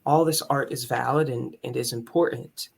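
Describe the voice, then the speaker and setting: A man with a higher tenor voice, speaking as a musician and conductor in an earnest, candid, reflective way in a conversational interview. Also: steady tone, moderate pace, vocal fry, breathy edge.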